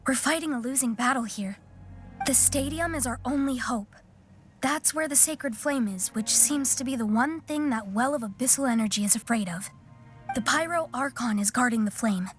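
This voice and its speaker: A woman's voice: fairly high pitch, normal speed, faint music behind, close to an everyday voice. A woman playing a serious, level-headed fighter in a video game. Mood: grave, hopeful.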